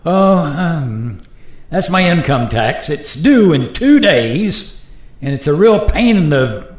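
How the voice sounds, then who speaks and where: Man acting lively, easygoing modern airline pilot. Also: a little high, takes it slowly. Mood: resigned, explaining. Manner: casual, conversational, explanatory. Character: George, easygoing modern airline pilot explaining today's world. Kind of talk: radio play acting. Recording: roomy, echoing sound.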